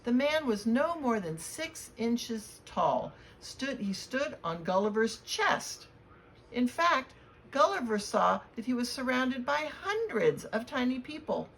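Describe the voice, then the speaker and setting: A woman reading a storybook aloud to children in a deliberate, expressive way. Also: a little high, rough recording. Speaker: children's read-aloud reader at a library. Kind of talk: storybook read-aloud with spoken introduction. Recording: clear background noise.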